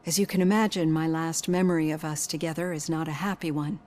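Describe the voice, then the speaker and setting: A woman playing a composed, gently probing psychologist in a video game, speaking in a measured, clinical way. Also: low-pitched, steady tone, natural voice. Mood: reflective.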